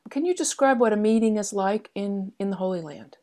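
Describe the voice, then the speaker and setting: Mellow-voiced woman interviewer. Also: mid-range voice, lively intonation, fairly quick. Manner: attentive, short acknowledgements and simple questions. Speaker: interviewer, host. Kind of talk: conversational interviewing.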